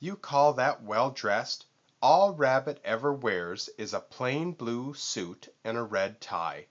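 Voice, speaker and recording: A man voicing a vain, boastful storybook vulture with a squawky, screechy voice and theatrical, drawn-out emphasis. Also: mid-range voice, animated, lo-fi sound. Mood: indignant.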